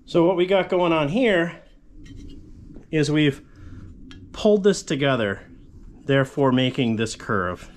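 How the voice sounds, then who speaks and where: A man's voice: a little high, expressive, unhurried, long pauses. A man in his forties, a fabrication channel host, casually explaining a metal shop tool in his home garage, rambling with frequent asides. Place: cluttered home garage workshop with tarp walls.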